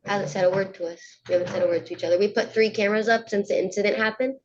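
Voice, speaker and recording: A woman's voice: mid-range voice, fairly even intonation, racing. A young woman testifying informally in a courtroom, speaking in plain, casual phrases. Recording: muffled, slightly noisy courtroom audio.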